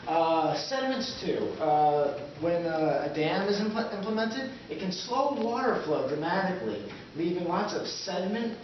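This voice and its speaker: A man's voice: sits high, animated. Man fumbling through an explainer, heavy echo. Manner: halting, fumbling, vague. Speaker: presenter. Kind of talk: amateur explainer, loosely scripted presentation. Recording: echoey, muffled, noisy, distant camera mic.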